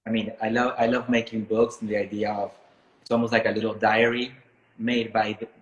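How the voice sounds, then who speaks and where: A man from Miami talking casually and reflectively as an interviewed artist, thoughtful and halting as he searches for words. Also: on the low side, little pitch movement, lo-fi sound, a little hiss or hum. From Miami, United States, born in Ecuador. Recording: echoey room sound.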